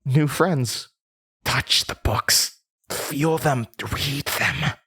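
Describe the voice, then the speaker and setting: A man playing a troubled, trapped young woman, lonely and obsessive, in a halting, intense horror audio drama monologue. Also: medium pitch, bright, forceful tone, breathy edge, full character voice. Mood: dazed, feverish. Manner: halting, stammering, brooding, intense.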